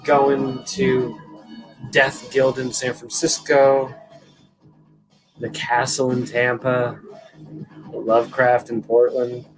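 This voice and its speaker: A man in his thirties from California with a voice of ordinary middle pitch, chatting through a live stream. He swears freely and rambles as he tells his story and answers the chat, with faint music playing behind him. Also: completely flat delivery, takes it slowly, pauses often, low-quality recording. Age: 30s.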